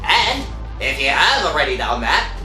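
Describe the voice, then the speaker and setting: Man reading a lively channel promo. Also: fairly high pitch, normal speed, reverberant, faint music behind, rough recording.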